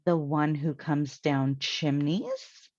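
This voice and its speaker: A woman's voice: low-pitched, animated, takes it slowly, a little mellow, dry recording, muffled recording. A woman voicing an earnest, plain-spoken housekeeper who takes everything literally, in a storybook reading.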